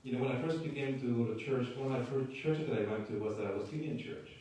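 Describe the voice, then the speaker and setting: A man from Chile preaching in English as a guest pastor, pausing after each short phrase so it can be interpreted. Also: mid-range voice, mellow. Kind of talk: sermon with live interpreter. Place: church sanctuary. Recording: echoey church hall from far off.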